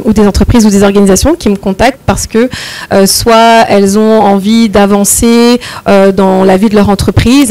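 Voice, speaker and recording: Female consultant guest, measured and well-organized answers. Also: mid-range voice, darkish tone, poor audio. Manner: clear, organized explanation. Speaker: strategy and project development consultant, guest. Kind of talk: interview answers.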